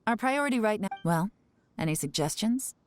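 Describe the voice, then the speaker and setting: A woman voicing a cool, commanding spymaster in a fantasy video game, speaking in a composed, measured way. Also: medium pitch, expressive, lightly acted. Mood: cool, in control. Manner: composed, measured, giving orders. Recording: background music under the voice.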